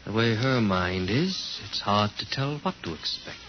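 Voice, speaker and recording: An actor playing a controlled, condescending nephew in 1950s radio drama, coaxing and patronizing. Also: male voice, on the low side, very animated, a little slow. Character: controlled, condescending nephew minding his aunt. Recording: muffled old radio, noisy background.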